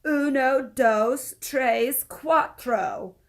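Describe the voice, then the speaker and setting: A woman reader puts on an excitable lady schoolteacher from a children's storybook. Her voice is high and loud, and she calls out emphatically to urge her class on. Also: drawn-out words, heavily acted. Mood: loud, excited. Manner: emphatic, calling out.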